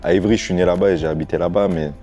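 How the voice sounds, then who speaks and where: A podcast guest, a man, speaks in a deep and mellow voice. He explains things informally, as in a casual interview. Light music plays behind him. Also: fairly even intonation, racing, muffled recording. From France, Paris suburbs, Martinican family. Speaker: podcast guest, financial educator. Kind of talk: casual interview, recounting his path.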